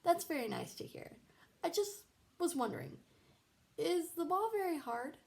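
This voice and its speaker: A woman's voice: very high voice, sing-song, moderate pace, vocal fry, voice pushed up, heavily acted. Fussing, worried storybook mother, read by a woman. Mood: polite worry. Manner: polite, anxious. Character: Bitsy, polite, fussing, worried mother of a young ballplayer. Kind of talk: children's storybook read-aloud.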